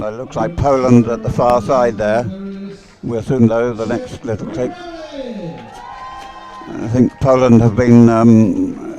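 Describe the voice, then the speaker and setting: A man giving live race commentary in a low, very mellow voice. He thinks aloud in a hesitant, slightly puzzled way as he follows the boats. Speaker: sports commentator.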